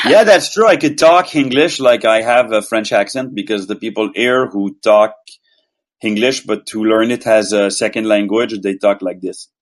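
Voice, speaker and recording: Quebec-raised actor, low-pitched, easygoing and rambling in a casual interview. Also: male voice, normal speed. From Quebec, Canada. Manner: relaxed, rambling, self-deprecating. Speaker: voice actor guest.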